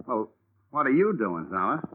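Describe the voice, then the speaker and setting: An actor playing a blunt, streetwise private detective in a 1950s radio drama, with a gruff manner. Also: male voice, medium pitch, sing-song, normal speed. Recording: tinny 1950s radio broadcast.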